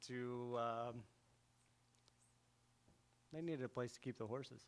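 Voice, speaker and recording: A man's voice: mid-range voice, steady tone, takes it slowly. A man giving short, casual answers as a contestant in a live stage quiz. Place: auditorium stage.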